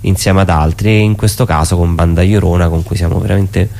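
A man speaking as a theatre performer in a casual radio interview, explaining things in a wordy, halting way. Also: low-pitched, steady tone, gravelly, darkish tone.

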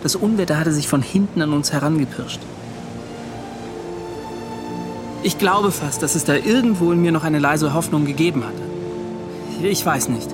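An actor playing a haunted, bitter seaman in a radio play, giving his account in a brooding, intense, halting way. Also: fairly high pitch, over music, lightly acted. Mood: bitter despair. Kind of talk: radio play acting, first-person account.

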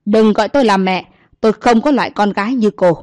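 A woman voicing a domineering old grandmother who shouts and scolds scornfully. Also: medium pitch, fast talker, no room sound, studio quality, natural voice. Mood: scolding.

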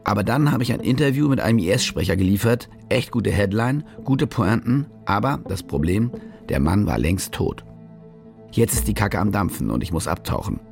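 A cynical reporter for a radio play, very low and gravelly. He narrates his own schemes with boastful, sardonic casualness, swinging freely between punchy brags and offhand asides. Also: full character voice. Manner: sardonic, self-promoting, casual. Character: cynical, boastful German war reporter who fakes stories. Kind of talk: first-person radio drama narration.

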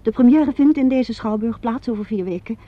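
On a muffled old radio recording, a woman plays a witty theatre designer. She talks fast and chattily, self-deprecating and holding her nerves in check. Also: on the high side, no echo, lightly acted. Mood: brisk, informative. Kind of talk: vintage Dutch radio play acting. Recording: dull lo-fi vintage radio recording.

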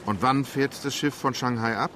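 An actor playing a composed, precise English gentleman in a radio play, speaking in a calm, clipped and formal way. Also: mid-range voice, normal speed, background noise, lightly acted.

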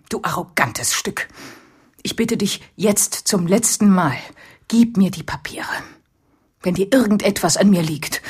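An actress playing a proud, betrayed fiancée in a radio drama, husky and breathy, speaking with tense, accusing control. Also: female voice, medium pitch, darkish tone. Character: proud, cold, betrayed fiancée from powerful family.

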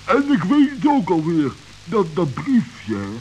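Stammering timid badger. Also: male voice, high-pitched, huge pitch swings, takes it slowly, a little mellow, exaggerated voice. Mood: drowsy muddled. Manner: stammering, muddled words. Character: timid, bumbling male badger, easily frightened. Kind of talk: vintage children's radio play. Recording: dull hissy old radio recording.

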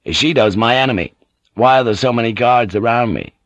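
A narrator acts out a male foreign sailor from a storybook, blunt and passionate. He probes insistently in a medium-pitched voice, sometimes with archaic phrasing. The sound is dry and a little dull. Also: fairly quick, no room sound, close to an everyday voice. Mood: suspicious. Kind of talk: audiobook character dialogue.

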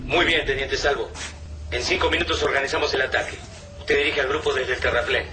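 An Argentine actor playing a gruff, commanding militia officer in a radio drama, curtly giving battle orders. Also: male voice, mid-range voice, steady tone, brisk, noisy background, close to an everyday voice. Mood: firm, commanding. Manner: barking orders, curt. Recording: loud music behind the voice.

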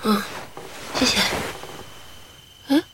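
An actress playing a cool, teasing young woman in an audio drama, with a husky, breathy voice. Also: very slow, a little hiss or hum. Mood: quiet, intimate. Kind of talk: Chinese audio drama acting.

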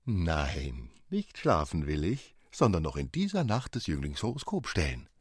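A man voicing an eager court astronomer in a fairy tale, speaking with drawn-out emphasis. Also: sits low, wildly swooping pitch, breathy edge, dry recording, clean studio sound, heavily acted. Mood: eager, resolute.

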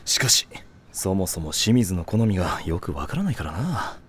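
A man playing a dependable, kindly but strict high-school team captain in an anime audio drama, frank with his juniors. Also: sing-song, moderate pace, a little hiss or hum. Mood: thoughtful. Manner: frank, senior talking to juniors.

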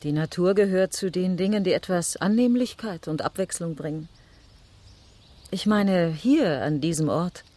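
An actress playing a witty, worldly marquise of middle age in a German radio drama, with a poised, coquettish, dryly ironic manner. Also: female voice, on the low side, moderate pace, warm tone, close to an everyday voice. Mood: cool, curious. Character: witty, worldly French marquise, aging beauty living in convent.

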